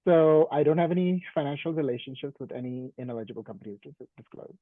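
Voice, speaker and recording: A male physician giving a guest presentation on a video call, hoarse from a sore throat and clearing his throat now and then between relaxed, filler-laden explanations. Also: a little high, fast, no room sound. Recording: dry, phone-like call audio.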